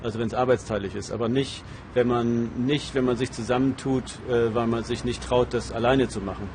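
A man of middling pitch speaks spontaneously and reflectively, as an interviewee. He is halting and searches for his words. Faint outdoor urban noise sits behind his voice. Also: fairly even intonation.

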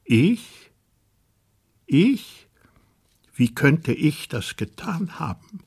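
A man voicing a small, dazed court dwarf who answers slowly and hesitantly. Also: a little high, sing-song, gravelly, a little mellow, husky, voice pushed up, full character voice. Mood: feigned innocence. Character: small frightened male jester dwarf.

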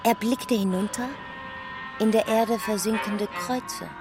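Woman reading a story real slow and deliberate, loud music under her. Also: medium pitch. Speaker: narrator in a radio play. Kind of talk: scripted literary narration.

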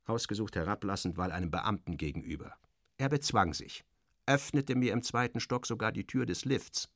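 A man's voice: lowish voice, moderate pace, dry recording, studio quality. A man narrating a literary story in the first person, in a wry, clipped and theatrical manner.

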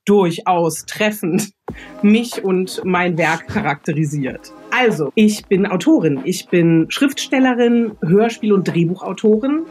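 A woman's voice: lowish voice, darkish tone. A woman talking conversationally as an interview guest. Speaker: guest author and screenwriter.

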